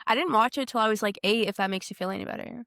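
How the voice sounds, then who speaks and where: A woman's voice: a little high, racing, a bit bright, no room sound. Young woman co-hosting, dry sound. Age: young adult. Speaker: podcast co-host. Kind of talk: casual chat.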